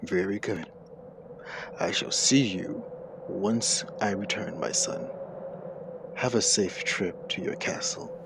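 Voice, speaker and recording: An immortal king, gravelly, imperious, very slow, audio roleplay drama. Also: lowish voice, noisy background.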